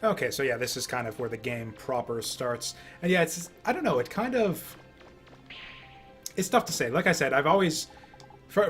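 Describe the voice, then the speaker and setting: A man commenting casually on a game he is playing. His voice sits at an average pitch, and he rambles haltingly, repeating himself, with faint music behind. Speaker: game streamer. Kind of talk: casual let's play commentary.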